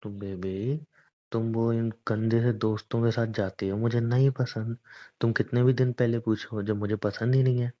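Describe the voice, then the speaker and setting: A man's voice: mid-range voice, level tone, normal speed, lightly acted. A man playing a stubborn, teasing young boyfriend in a romantic phone-call roleplay, argumentative and coaxing in casual conversation. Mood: defensive. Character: stubborn, teasing young boyfriend defending his boys' trip. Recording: dull lo-fi phone call recording.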